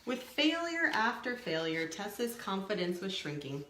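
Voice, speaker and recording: A woman reading a picture book aloud to young children, expressively, pausing to add simple explanatory asides. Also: mid-range voice, big pitch swings, fairly bright tone, reverberant, a little hiss or hum, rough recording. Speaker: storytime reader.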